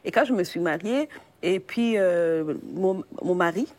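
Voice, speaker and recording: Soft-spoken woman. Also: on the low side, expressive, no echo. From Democratic Republic of Congo. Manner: soft, halting, pausing often. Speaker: guest evangelist. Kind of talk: personal testimony in a faith talk show.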